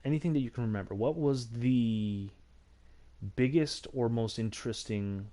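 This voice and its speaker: A man in a casual chat among friends, asking questions and quickly agreeing. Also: mid-range voice, mellow.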